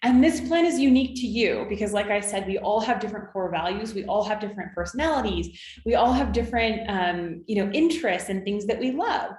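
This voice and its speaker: A woman's voice: medium pitch, animated, brisk, darkish tone, roomy sound. A woman in her thirties from California, a business coach talking to her viewers in an encouraging way, often checking in with "okay?" and "right?". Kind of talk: coaching talk to camera.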